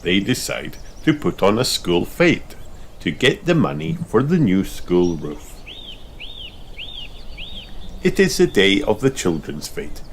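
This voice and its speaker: Low-voiced, animated man reading a storybook. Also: takes it slowly, pauses often, slight background noise. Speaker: children's book narrator. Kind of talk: expressive read-aloud storybook narration.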